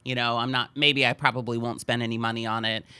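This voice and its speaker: A man's voice: medium pitch, level tone, quick pace, sharp tone, clear, resonant tone, dry recording. A man chatting casually as a guest on a podcast. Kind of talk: casual chat.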